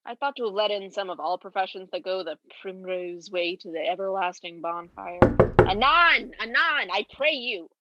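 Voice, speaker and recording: A woman's voice: medium pitch, moderate pace, muffled recording, natural voice. A young woman playing a drunken, bawdy, grumbling castle porter in a comic amateur Shakespeare monologue with exaggerated, tipsy delivery. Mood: grumbling, mocking. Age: young adult.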